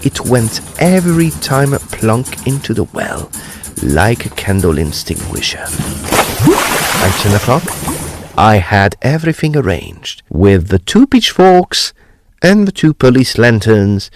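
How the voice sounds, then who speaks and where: A man playing a courteous, precise gentleman ghost hunter, telling his gothic tale in a formal, deliberate, expressive way. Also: on the low side, soft background music, full character voice. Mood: measured narrating. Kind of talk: dramatised gothic storytelling.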